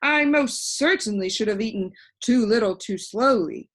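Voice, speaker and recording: A woman voicing a storybook humbug, a pompous, flattering, fickle male bug courtier who talks in flowery, repetitive, emphatic phrases. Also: on the high side, normal speed. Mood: distressed, wheezy.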